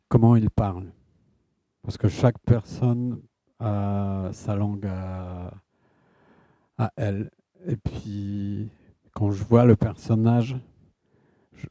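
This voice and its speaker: A man with a Polish accent, an author answering audience questions in a halting way, often stopping to search for words. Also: lowish voice, warm tone, no echo. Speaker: guest author.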